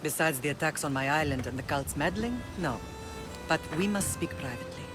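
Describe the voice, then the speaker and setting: An actress playing a commanding, protective middle-aged Spartan mother and leader in a video game, speaking in a measured, formal, authoritative way. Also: low voice, expressive, music in the background. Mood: grave. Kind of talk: dramatic video game acting.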